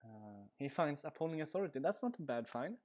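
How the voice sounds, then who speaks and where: A man's voice: fairly high pitch, sing-song, a little fast, a little mellow. A man with a Swedish accent giving casual live commentary on a card game stream, rambling with lots of fillers. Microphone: headset.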